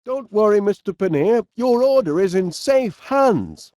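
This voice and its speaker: A genial, bumbling middle-aged English inventor for a comedy video game. He has a Northern English accent and takes his time over his words, with pitch that swoops about. He is eager yet easily flustered and hesitant, recorded in a very dry studio sound. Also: expressive, unhurried, mellow, no room sound. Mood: sheepish, reassuring. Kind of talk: animated comedy game voice acting.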